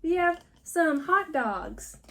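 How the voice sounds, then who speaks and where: A woman's voice: very high-pitched, lively intonation, slow, sharp tone, a little hiss or hum. A woman narrating a casual toy unboxing for children, using simple phrases and small exclamations.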